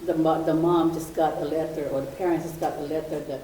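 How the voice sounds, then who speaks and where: A woman's voice: low-pitched, level tone, mellow. Older Ilocano-speaking woman, distant and echoey. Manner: halting, searching for words. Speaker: audience member. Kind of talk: sharing a family story in a discussion. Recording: echoey room, voice from afar, faint hiss.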